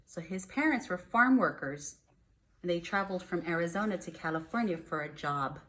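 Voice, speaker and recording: A woman's voice: fairly low pitch, expressive, moderate pace, fairly bright tone. Woman teacher explaining to young children. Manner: explanatory, simple wording, emphatic on key words. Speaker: first-grade teacher. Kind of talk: read-aloud lesson for young children.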